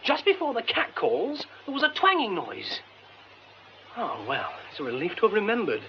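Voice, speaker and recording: High, animated British man. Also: moderate pace, highs cut off, a little hiss or hum, full character voice. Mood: searching memory. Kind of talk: radio drama acting.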